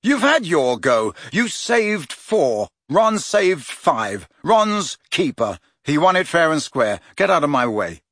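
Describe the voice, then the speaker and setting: A stubborn teenage hero from a storybook, read by a man, terse and earnest. The voice is husky, with lively shifts of pitch and a dry close sound. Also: slow pace, few pauses, no echo, full character voice. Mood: cold, firm. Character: teenage boy hero, stubborn, firm, plain-spoken.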